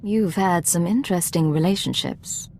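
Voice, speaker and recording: A woman playing a composed secret agent in a video game, speaking in a controlled, dry, measured way. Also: lowish voice, lively intonation, creaky, darkish tone, faint music behind. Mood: dry, probing. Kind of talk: video game protagonist dialogue acting.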